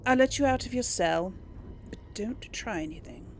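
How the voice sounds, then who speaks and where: Creaky-voiced woman playing a game. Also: on the high side, expressive, normal speed, slight background noise. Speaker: gaming host. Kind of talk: casual let's play commentary; reads game text and dialogue choices aloud.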